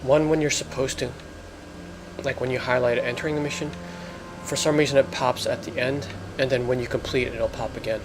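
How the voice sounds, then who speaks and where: A man hosting a let's play, explaining things in casual, halting gameplay commentary. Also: mid-range voice, fairly even intonation, over music. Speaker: gamer, let's play host.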